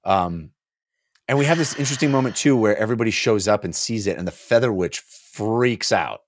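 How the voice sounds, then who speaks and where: A middle-aged man discussing a book casually as a podcast co-host, thoughtful and emphatic, with halting phrasing. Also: on the low side, lively intonation, no echo. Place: small home room, indoors. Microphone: close desk microphone on boom arm.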